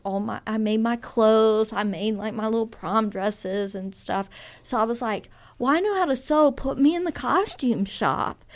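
A woman in her fifties from Louisiana, a fiber artist chatting in a radio interview, rambling through stories with restarts and small laughs. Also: a little high.

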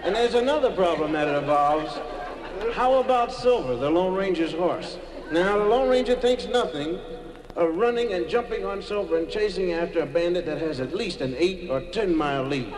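A man's voice: high-pitched, animated, normal speed. A man doing a casual, bantering live comedy routine as a stand-up comedian. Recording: echoey hall, crowd, noisy old recording.

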